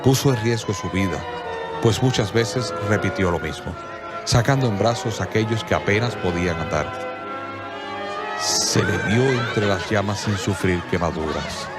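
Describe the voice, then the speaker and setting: A man narrating a radio drama in a measured storytelling manner, with soft violin music behind. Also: fairly low pitch, expressive.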